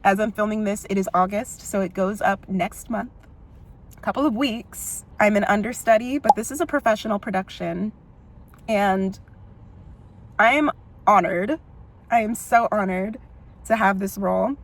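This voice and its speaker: A young adult woman with a big voice, telling personal stories in an expressive, emotional vlog style. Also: medium pitch, normal speed, long pauses. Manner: expressive and emotional, frequent "like" fillers and laughs. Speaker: vlogger and musical theatre performer.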